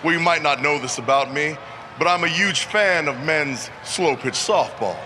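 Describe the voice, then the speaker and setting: An actor playing a menacing pro wrestler in a video game, taunting with mock-casual, deliberate storytelling. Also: male voice, fairly high pitch, sharp tone. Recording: clear outdoor background noise.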